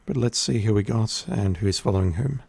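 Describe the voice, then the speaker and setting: An Australian man giving relaxed, chatty commentary as he plays a game on stream, with a wry humor. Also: on the low side, moderate pace, creaky, husky, no echo. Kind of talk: casual gameplay commentary.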